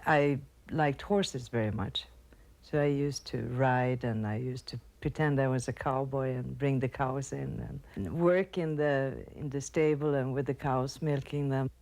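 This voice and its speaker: Very deep, dark woman. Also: steady tone. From Sweden. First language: Swedish. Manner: halting, with pauses. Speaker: interview guest.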